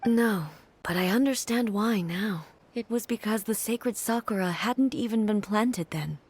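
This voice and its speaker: A woman playing a solemn, grieving, ageless deity in a video game, speaking in formal, poetic, measured phrases. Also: mid-range voice, lightly acted. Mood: reflective. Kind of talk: dramatic video game voice acting. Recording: game audio under loud music.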